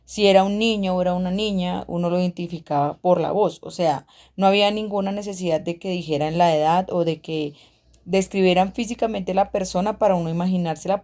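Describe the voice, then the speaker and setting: A woman's voice: fairly low pitch, warm tone. A woman casually recalling things in an interview, plain-spoken and repetitive.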